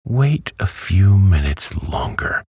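A man reading a storybook who voices a prim, easily offended female yellow hen. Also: deep voice, very animated, takes it slowly, husky, no room sound, clean studio sound, voice pushed up, heavily acted. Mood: indignant.

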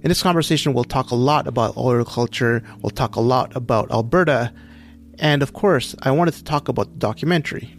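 A man hosts a podcast, reading from a script. His voice sits at an average male pitch, with faint music underneath. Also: brisk. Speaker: podcast host.

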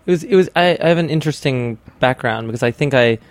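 A man's voice: mid-range voice, fast, dry recording. Young American man, casual, dry close sound. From Hawaii, United States. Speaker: interview guest, interior designer. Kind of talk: casual interview chat.

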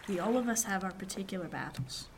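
A woman playing a self-possessed, formal seafaring man in a period radio drama, speaking with deliberate care. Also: medium pitch, lively intonation, a little hiss or hum, lightly acted. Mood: measured, respectful.

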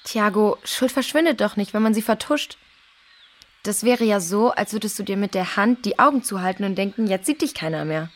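Woman playing a kind girl, earnest, calm, level-headed, children's radio play. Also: medium pitch, brisk, lightly acted. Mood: firm, advising. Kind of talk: children's audio drama acting.

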